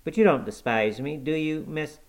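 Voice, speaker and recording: A man's voice: fairly high pitch, normal speed, voice pushed up, lightly acted. A man performs a peevish, ailing boy in an audiobook. The voice is lifted well above a man's normal talk, with a fretful, self-pitying whine. He sounds spoiled and forever complaining. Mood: plaintive. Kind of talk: audiobook character acting.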